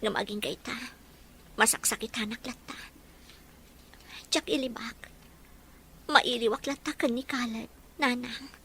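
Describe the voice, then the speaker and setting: A woman playing a young Ilocano mother carrying grief in a family audio drama, earnest and soft-hearted. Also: fairly high pitch, measured pace, long pauses, a little hiss or hum. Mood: sad, longing.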